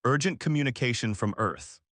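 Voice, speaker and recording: A man's voice: medium pitch, brisk, a bit bright, no room sound, clean studio sound, lightly acted. A man voicing an anxious, hesitant, deferential junior alien officer in a science fiction story. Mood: urgent.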